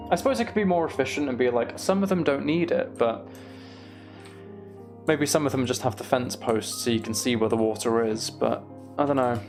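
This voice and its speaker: A man with a noticeably creaky, gravelly voice talks casually on a gaming livestream. He muses aloud to himself and to his viewers in a conversational way. Faint music plays behind him. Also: medium pitch. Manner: musing aloud, reads and answers viewer comments. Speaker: live streamer.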